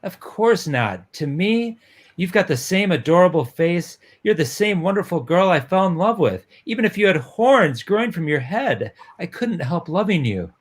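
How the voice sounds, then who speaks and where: A man playing a lovestruck, hot-headed young boyfriend in a romance comic reading, with halting, melodramatic delivery. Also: high-pitched, sing-song. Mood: troubled, halting.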